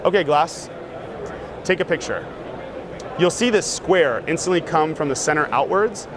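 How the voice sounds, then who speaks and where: A man casually demonstrating a gadget in an interview, relaxed and full of fillers. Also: on the high side, normal speed. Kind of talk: casual demo talk. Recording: noisy outdoor street sound.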